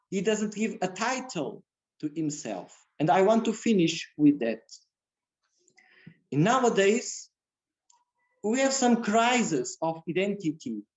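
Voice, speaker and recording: Male guest lecturer, very measured pace, halting second-language English. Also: high voice. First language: Portuguese. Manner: halting, non-native English with repeated phrases. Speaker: guest speaker. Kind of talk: religious community lecture.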